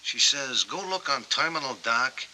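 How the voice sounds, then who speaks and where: A man's voice: mid-range voice, expressive, measured pace, heavy background noise, natural voice. An actor in a 1940s film noir playing a blunt, streetwise man relaying messages. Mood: matter-of-fact. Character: blunt male go-between passing messages along.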